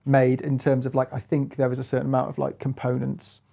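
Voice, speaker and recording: A man's voice: mid-range voice, completely flat delivery, fairly quick, gravelly, dark tone. A man presenting a hands-on music gear review in a casual chat, feeling his way through phrases with lots of hedging.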